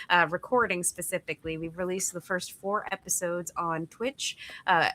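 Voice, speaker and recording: Woman player, stuffy-nosed, bright and forceful tone, chatty with laughing asides; casual sign-off plugging her channels. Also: lowish voice, a little hiss or hum. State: stuffy from a cold. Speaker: actual play player and producer.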